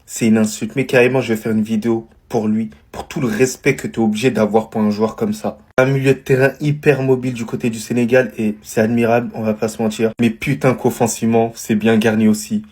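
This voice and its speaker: Husky-voiced man, assertive and punchy, casual football takes. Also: lowish voice, darkish tone. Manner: emphatic, punchy verdicts. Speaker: football reaction creator. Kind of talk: casual reaction talk.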